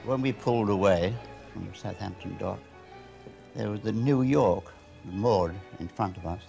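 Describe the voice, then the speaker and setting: A man's voice: lowish voice, a little slow, creaky, over music. An older man, a survivor, recalling events in plain spoken reminiscence. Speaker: shipwreck survivor.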